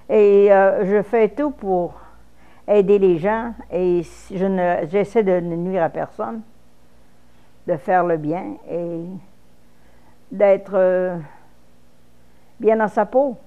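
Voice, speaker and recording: A woman's voice: mid-range voice, fairly even intonation, slow, long pauses, clean tone, phone-quality sound. A woman in her fifties from Quebec with a Québécois French accent, speaking frankly in a personal interview, with false starts. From Quebec, Canada.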